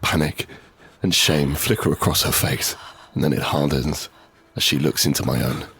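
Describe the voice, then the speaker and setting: A man playing a frightened, desperate father in an apocalyptic audio drama, speaking with halting, intense urgency. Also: extremely low pitch, fairly even intonation, creaky, fairly bright tone, husky, no room sound, full character voice. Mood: tense, grim. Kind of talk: first-person scripted audio drama narration with acted dialogue.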